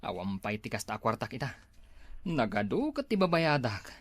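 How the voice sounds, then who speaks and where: A man's voice: mid-range voice, very animated, moderate pace, no echo, clean studio sound. A man with an Ilocano accent playing an admiring, envious neighbour in a village comedy drama. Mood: pleading. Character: admiring, envious neighbour friend eyeing a flashy car.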